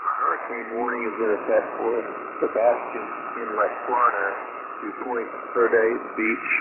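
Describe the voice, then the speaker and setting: A man's voice: medium pitch, flat delivery, vocal fry. Crackly thin ham radio audio w lots of static, guy reading a storm bulletin, stumbles a bit and corrects himself. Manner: halting, stumbles and self-corrects on place names. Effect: shortwave ham radio transmission. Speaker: amateur radio operator relaying storm advisories. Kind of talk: reading an official weather bulletin. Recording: tinny, very noisy shortwave radio signal. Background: loud radio static and noise.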